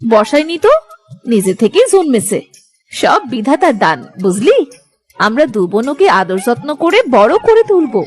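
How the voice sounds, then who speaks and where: A woman's voice: a little high, sing-song, moderate pace, darkish tone. A woman voicing a caring, dutiful elder daughter-in-law in a village cartoon, with a tender, reassuring manner. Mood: tender, pleased. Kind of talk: Bengali moral-story cartoon dubbing.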